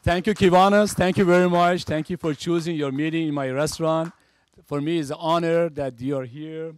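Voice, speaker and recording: A man's voice: on the high side, fairly even intonation, moderate pace, clear, resonant tone. Earnest man, humble thanks. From Iran. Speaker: restaurant owner. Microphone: handheld mic.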